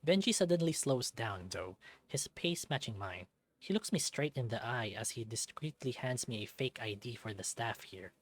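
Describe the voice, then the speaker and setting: A man reading a visual novel aloud as expressive first-person scripted narration. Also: medium pitch, moderate pace, no room sound.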